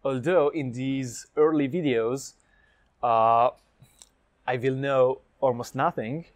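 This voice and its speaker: A man's voice: medium pitch, slow, no room sound. A young Hungarian man with a Hungarian accent, talking straight to camera in an upbeat vlog about learning a language, speaking energetically and gesturing as he goes, recorded on a lapel mic indoors. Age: young adult. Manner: energetic, talks with his hands. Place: indoors against a plain light wall. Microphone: lapel mic, medium camera framing.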